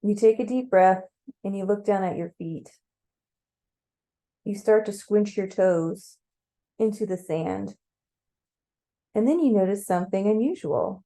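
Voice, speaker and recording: Woman, guided meditation storyteller, unhurried, mellow, mid-pitched, conversational, playful. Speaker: storyteller leading a guided visualization.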